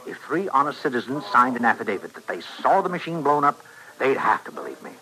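An eloquent professor-inventor in an old radio western. His mid-pitched voice is bright and forceful, pressing his case with theatrical, persuasive urgency. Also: big pitch swings, quick pace, rough recording. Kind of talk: 1950s-60s radio western acting.

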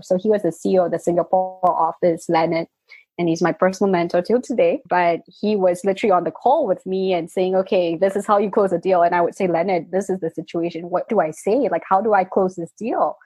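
A husky-voiced woman in her thirties with a mixed Malaysian and British accent tells her story in an interview. She speaks earnestly and often checks in with a quick "right?". The sound is dry and close, with no room around it. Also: fairly low pitch, darkish tone, dry recording, low-quality recording. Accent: Malaysian and British mix. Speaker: interview guest. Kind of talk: reflective interview answers.

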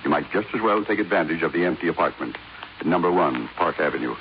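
A man's voice: low voice, animated, fast talker. A man acting a guarded, blunt older business magnate in a 1950s radio drama, speaking imperiously. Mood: commanding. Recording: dull, lightly noisy 1950s radio recording.

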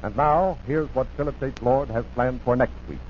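A man's voice: mid-range voice, normal speed. Formal American man announcing on vintage radio. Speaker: program announcer. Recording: dull, noisy 1940s radio recording.

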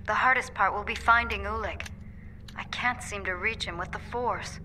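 A woman's voice: medium pitch, moderate pace, sharp tone, slight background noise, close to an everyday voice. An actress playing an earnest, determined young Jedi woman in an audio drama, serious and resolute. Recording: slightly distant over background music.

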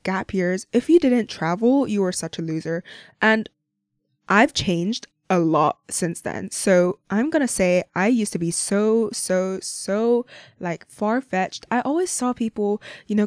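A woman's voice: mid-range voice, darkish tone, no echo. Chatty young woman on a dry mic. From Hong Kong. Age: young adult. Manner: rambling, chatty, frequent "like" and "you know", laughs often. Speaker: podcast host. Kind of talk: unscripted casual chat.

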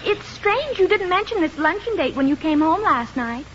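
An actress playing a hurt, sarcastic young housewife in a 1950s radio comedy. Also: female voice, very high voice, expressive, normal speed, fairly bright tone. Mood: indignant, sarcastic. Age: young adult. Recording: muffled, hissy 1950s radio recording.

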